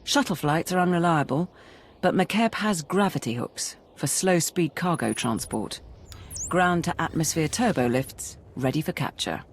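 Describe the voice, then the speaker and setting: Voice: mid-range voice, expressive, a little slow, slight background noise. An actor playing a methodical military adviser in a video game, giving a precise, businesslike briefing. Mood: focused briefing. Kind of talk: video game cutscene acting.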